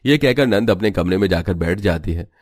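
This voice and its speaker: A man's voice: sits low, animated, fairly quick, darkish tone, faint music behind. A man giving scripted narration for a cartoon family story as its narrator.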